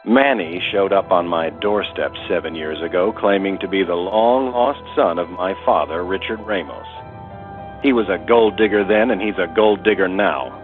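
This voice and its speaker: An actor playing a guarded, easily riled wealthy older brother in an audio soap opera, curt and dismissive. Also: male voice, low voice, moderate pace, soft background music, close to an everyday voice. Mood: hostile, accusing. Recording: narrow, phone-like sound.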